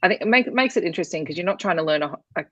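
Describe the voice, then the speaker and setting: A woman's voice: fairly low pitch, lively intonation, very fast, fairly bright tone, dry recording, highs cut off. A woman co-hosting a casual book chat, reflectively talking through her thoughts in long run-on sentences. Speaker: co-host of a book discussion livestream.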